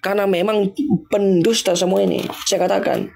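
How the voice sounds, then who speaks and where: Emphatic man with gravelly high voice. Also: steady tone, normal speed, darkish tone, slight background noise. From Indonesia. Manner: emphatic, repetitive, mocking. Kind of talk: informal livestream talk answering viewers.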